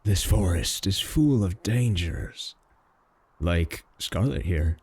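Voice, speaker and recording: A man acts out a boastful, doting male werewolf in a comic audio drama. His voice is lowish and husky, with big swings in pitch as he drawls and mocks his rival. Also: expressive, slow, darkish tone, no echo, exaggerated voice. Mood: smug, mocking. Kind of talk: comedic audio roleplay acting.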